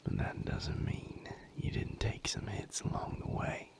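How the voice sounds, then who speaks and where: A man playing a protective, tender boyfriend in an intimate audio roleplay, speaking gently and earnestly in a breathy, creaky near-whisper. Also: close to an everyday voice. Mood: firm concern. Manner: slow, gentle, earnest, firm. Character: protective, tender male boyfriend tending his hurt partner.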